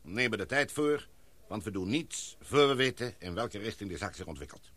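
A man's voice: fairly low pitch, expressive, a bit bright, no room sound. An actor playing a cautious, authoritative male expedition leader in a radio drama, speaking formally and. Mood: formal, commanding. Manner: formal, measured, commanding. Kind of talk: Dutch radio drama acting.

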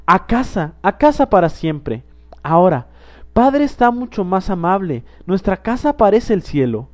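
A man voicing a small, excited girl, pitching his voice high and chirpy in an audiobook reading. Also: a little slow. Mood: overjoyed. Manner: high chirpy childlike delivery, breathless with joy. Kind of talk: audiobook character voice.

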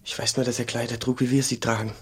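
An actor playing an obedient, devout monk in a German radio play, earnest and deferential, with a slightly husky voice. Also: male voice, medium pitch, level tone, fast. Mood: earnest, contrite. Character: humble, obedient young monk, devout and penitent.

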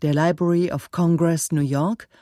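A woman radio announcer reading scripted credits in a measured, precise manner. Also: low-pitched, steady tone, very noisy. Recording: radio production with music behind. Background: instrumental music.

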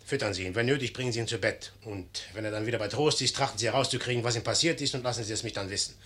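An actor playing an imperious, impatient young general, curt and commanding, in a 1950s German radio play. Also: male voice, medium pitch, rapid-fire, bright, forceful tone, natural voice.

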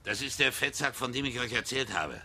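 Harsh, raspy, sneering bully. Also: male voice, mid-range voice, expressive, a little fast, bright, forceful tone. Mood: gloating menace. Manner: threatening, coarse, taunting. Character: brutish, sneering small-town bully, spoiling for a fight. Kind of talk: German radio drama acting.

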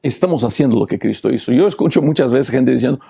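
Gravelly pastor. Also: male voice, medium pitch, fast talker, mellow. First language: Portuguese. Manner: preachy, repeated rhetorical questions. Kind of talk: Bible lesson commentary.

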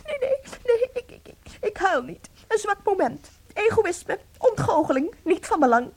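An actress playing an anxious, devoted wife in a radio play, tender and theatrical, with a breathy delivery. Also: female voice, very high voice, very animated, a little slow, heavily acted. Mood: distraught. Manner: theatrical, breathy, swinging from hushed to agitated.

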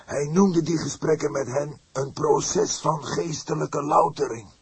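Fervent, husky, high-strained clergyman. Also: steady tone, no echo, heavily acted. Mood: solemn. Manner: emphatic, deliberate, formal phrasing. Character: fierce, pained elderly pastor, indignant and solemn. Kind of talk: audiobook character voice.

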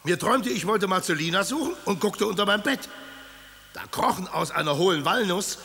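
An actor playing a pompous old tsar who flares up at insults, in a radio drama. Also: male voice, sits high, lively intonation, creaky, sharp tone, long reverb tail, a little hiss or hum, full character voice. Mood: puzzled. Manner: pompous and quick to rage. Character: blustering, easily offended old tsar.

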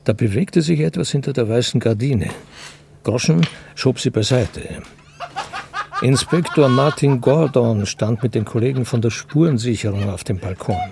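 An actor narrating a crime story in a scripted radio drama. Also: male voice, medium pitch, moderate pace, vocal fry, noisy background, close to an everyday voice. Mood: measured narrating.

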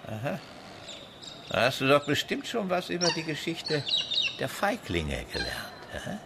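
Sly, taunting authority figure. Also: male voice, a little high, wildly swooping pitch, creaky, noisy background, exaggerated voice. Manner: sly, mocking, drawn-out storytelling. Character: menacing, taunting man of authority grilling a boy. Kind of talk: German radio drama acting.

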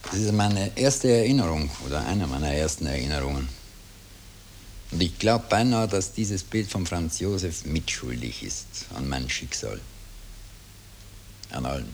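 A brooding Viennese man lost in memories in an old radio drama. His voice is low and reflective, on a slightly hissy old tape. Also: expressive, unhurried, long pauses, darkish tone. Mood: brooding, wistful. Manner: reminiscing, brooding. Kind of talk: 1960s German-language radio drama acting.

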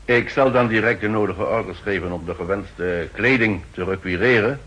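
An actor playing an obliging, slightly flustered garrison commander with formal military speech in a 1960s radio drama. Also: male voice, fairly low pitch, moderate pace, a bit bright, slightly rough. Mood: dutiful, fussy.

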